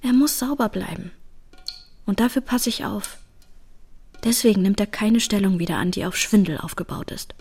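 A woman's voice: medium pitch, moderate pace, creaky, pauses often, a little mellow, slightly rough. A woman playing a tender, principled young working-class mother in a naturalistic radio drama, speaking in an earnest, plain way. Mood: earnest, firm. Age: young adult.

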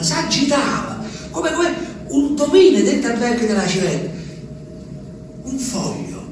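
An actor playing a simple, haunted olive farmer, telling his story haltingly and intimately in a radio drama monologue. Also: very high-pitched, heavily acted. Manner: halting, repetitive, intimate storytelling addressed to a listener. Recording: echoey, distant, music under the voice. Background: music.

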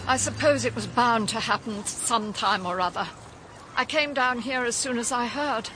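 A woman's voice: on the high side, normal speed, close to an everyday voice. An actress playing a genteel, matter-of-fact older woman in a radio drama, with an English accent and a composed manner. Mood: resigned. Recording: outdoor sound effects, clear background noise.

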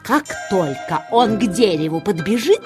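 An actress playing a calm, sly female tortoise in a children's audio play. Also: medium pitch, music in the background, natural voice. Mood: scheming. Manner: calm, gently sly, unhurried.

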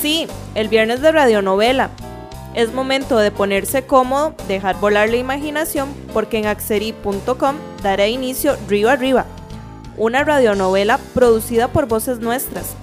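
A woman's voice: on the high side, normal speed, soft background music. A woman announcer delivering a scripted promotional introduction to a radio drama program.

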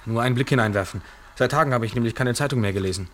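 An actor playing a lonely, polite bar customer in a radio play, halting and apologetic, on a noisy recording. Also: male voice, medium pitch, fairly bright tone, natural voice. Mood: hesitant. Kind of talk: naturalistic radio play acting.

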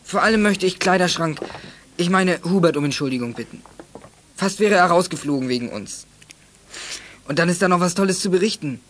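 A man's voice: high voice, expressive, brisk, pauses often, fairly bright tone. A young man playing a stubborn, aggrieved troublemaker in a children's radio drama, with an emphatic delivery. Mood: contrite. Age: young adult. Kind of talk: 1980s German children's radio drama acting.

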